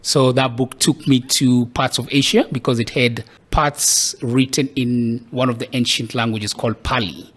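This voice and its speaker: A man's voice: medium pitch, fairly even intonation, moderate pace, hardly any pauses, fairly bright tone. A man from Kenya speaks as a guest on a podcast, his voice sitting in a middle range. He tells his story in a thoughtful, reflective way, at an easy, conversational pace. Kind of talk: casual interview storytelling.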